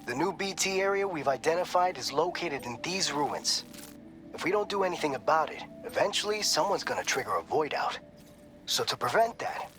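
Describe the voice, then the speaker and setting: An actor playing an encouraging male mission support officer in a video game, explaining things clearly and supportively. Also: fairly high pitch. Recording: long reverb, loud music behind.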